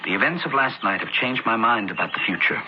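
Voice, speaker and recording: A man's voice: fairly low pitch, lively intonation, quick pace, lightly acted. An actor playing an earnest, devout young man of ancient Pompeii in a radio drama. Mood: confiding, fervent. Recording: dull noisy old radio broadcast.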